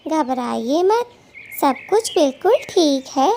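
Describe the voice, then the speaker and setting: A woman voicing a caring but feisty little female bird in a children's cartoon, earnest and expressive. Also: high-pitched, takes it slowly, a little hiss or hum, full character voice. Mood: caring, worried. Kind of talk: children's cartoon story acting.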